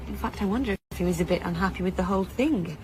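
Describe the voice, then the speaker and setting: A woman's voice: mid-range voice, quick pace, darkish tone, natural voice, poor audio. A woman playing a publishing editor in a radio drama, informal and frank, with slightly flustered, hesitant starts. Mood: chatty, frank. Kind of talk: naturalistic radio drama dialogue. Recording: faint background noise.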